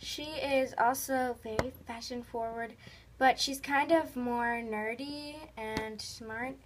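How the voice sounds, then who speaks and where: Casting: female vlog host, slightly high voice, informal and rambling, unhurried, casual narration captured on a phone. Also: fairly even intonation. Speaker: host of a toy video channel. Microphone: phone held near the speaker. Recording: noisy handheld phone recording with clicks.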